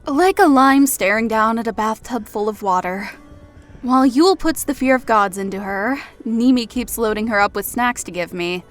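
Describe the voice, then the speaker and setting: A woman playing a wry, affectionate elf in an audio drama, speaking in a measured, dryly teasing way. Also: a little high, expressive, faint music behind, natural voice. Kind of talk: audio drama character acting.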